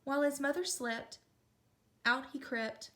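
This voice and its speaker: A woman giving an expressive read-aloud narration of a children's storybook. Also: high voice, measured pace. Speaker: storybook reader.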